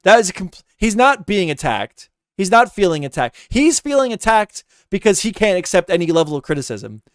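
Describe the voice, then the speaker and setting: A man rants through a reaction stream, exasperated and repeating his points. His voice is fairly high, smooth and clear. The sound is dry and close, with no room echo. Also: sing-song, fairly quick. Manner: ranting, exasperated, repeats himself. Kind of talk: heated casual commentary.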